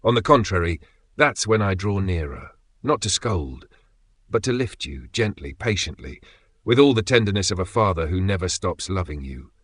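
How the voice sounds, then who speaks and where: Man, devotional message, tender, intimate, hushed endings, dry sound. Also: low voice, big pitch swings, moderate pace, breathy edge, dry recording. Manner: gentle, tender and intimate, dropping to near whispers. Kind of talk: scripted devotional message spoken as God.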